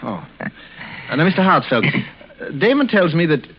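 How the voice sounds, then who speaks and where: An actor playing an eager, domineering husband who talks business with brisk, polite assurance, in 1940s radio drama style. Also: male voice, fairly high pitch, huge pitch swings, husky, full character voice. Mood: suave, businesslike. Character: smooth-talking, eager, domineering husband making a deal. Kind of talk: 1940s radio suspense acting. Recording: dull, noisy vintage radio recording.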